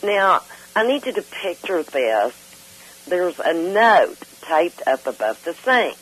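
An older woman with a hoarse voice, chatting casually and excitably as a caller on a radio talk show. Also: fairly low pitch, slow, rough recording. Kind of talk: casual, jokey chat.

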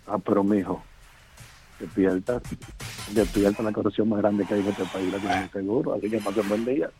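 A man calling in, telling a personal story in an animated way. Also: on the low side, fairly quick, soft background music. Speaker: caller.

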